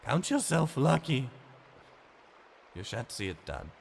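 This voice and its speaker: A hoarse, withered old man, as a narrator puts him on, pleading in a raspy, gravelly voice that cracks into shrill shrieks. He swings between high and low, fanatical and broken. He is a storybook figure kneeling before his punishment. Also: very animated, slow pace, long reverb tail, a little hiss or hum, full character voice. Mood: grim. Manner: pleading, shrill when frightened.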